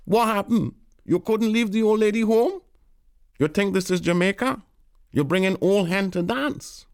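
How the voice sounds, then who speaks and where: A man's voice: high-pitched, moderate pace, voice pushed up. A man voicing a sociable West Indian man who mockingly teases his friends. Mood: teasing. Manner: mocking, loud banter.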